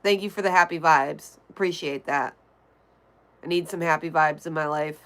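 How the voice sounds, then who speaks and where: A woman's voice: deep voice, moderate pace, fairly bright tone. A woman hosting a casual livestream, reading viewer comments aloud and chatting back to them. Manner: chatty, answers comments directly. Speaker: livestream host. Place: home in Connecticut.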